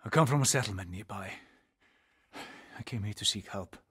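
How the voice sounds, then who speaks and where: A man voicing a grave, weary Norse guide in a video game, solemn and portentous, with a husky, breathy voice. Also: lowish voice, fairly bright tone. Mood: grave, foreboding. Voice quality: husky, breathy, often dropping to a whisper. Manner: solemn, deliberate, portentous delivery. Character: grave, weary male seer and guide of a haunted Norse land. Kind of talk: dramatic video game dialogue.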